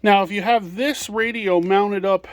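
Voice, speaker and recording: Man, high voice, informal product demo, hands-on explainer, seller presenting his gear. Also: steady tone, unhurried. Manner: hands-on explaining while handling items.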